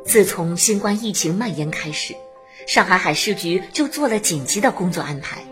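An actress playing a warm, dutiful middle-aged canteen worker and mother in a radio drama, chatty and earnest. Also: fairly low pitch, animated, moderate pace, over music, lightly acted. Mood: earnest, reflective. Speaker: narrator and lead character. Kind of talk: radio drama acting with first-person narration.

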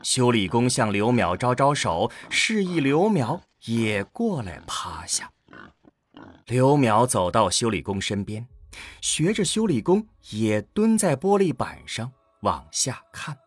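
A man with a husky voice narrating a scripted science-fiction audio drama. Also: medium pitch, sing-song, takes it slowly, no room sound.

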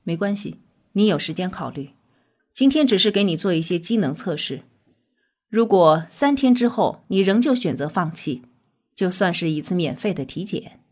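An actress playing a composed research doctor in a science fiction radio drama, speaking in a calm, formal, matter-of-fact way. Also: female voice, lowish voice, normal speed, breathy edge. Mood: clinical, calm. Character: composed female research doctor, frank and clinical. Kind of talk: Chinese sci-fi radio drama acting.

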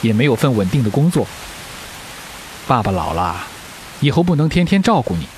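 A man's voice: medium pitch, sing-song, moderate pace, a little mellow, natural voice. Stern protective dad played by a man, middle-aged, lecturing, swinging intonation, faint hiss, radio drama. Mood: stern, pleading. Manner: firm, lecturing. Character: stern, protective widowed father of a teenage girl. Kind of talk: Chinese radio drama acting. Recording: faint hiss, rain-like noise.

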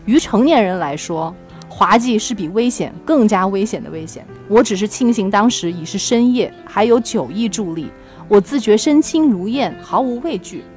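A woman's voice: mid-range voice, animated, moderate pace, a little mellow, soft background music. A woman podcast host, chatting casually about a book and reading from it aloud.

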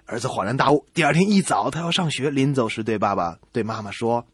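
A man with a husky, rough voice, co-hosting a comedy radio show with animated joke telling and banter. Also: mid-range voice, fast, dry recording, studio quality.

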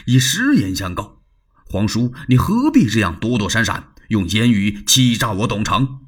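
A man voicing an anxious, earnest older court noble in a traditional told story, pleading and husky. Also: medium pitch, huge pitch swings, slow pace, heavily acted. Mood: aggrieved. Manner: urgent, pleading, turns tearful. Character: anxious loyal older male imperial in-law, earnest.

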